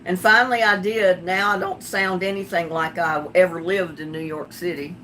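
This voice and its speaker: A woman's voice: on the low side, fairly even intonation, moderate pace, a bit bright, a little hiss or hum. An older woman from North Carolina with a Southern accent touched by Texas, chatting casually on a livestream as a gardening channel host and telling stories with frequent laughs. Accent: Southern US with some Texas. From North Carolina, USA. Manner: chatty storytelling, laughs often.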